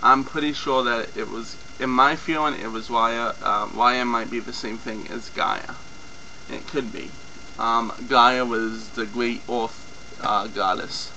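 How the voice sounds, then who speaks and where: Young man, slightly hoarse, unscripted with many ums, faint mains hum behind. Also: mid-range voice, steady tone, slow pace, sharp tone, rough recording. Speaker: mythology video host. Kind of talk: casual informal explainer.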